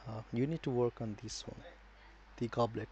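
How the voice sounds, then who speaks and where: A man with a Nepali accent chatting casually as a live game streamer, halting as he thinks aloud. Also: medium pitch, fairly even intonation, warm tone.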